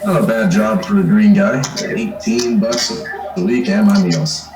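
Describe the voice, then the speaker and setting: A man's voice: on the high side, big pitch swings, unhurried. A man acting a wary, streetwise seventeen-year-old diner counterman in an old-time radio drama, with folksy, slangy talk. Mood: easygoing narrating. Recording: roomy echo, music underneath.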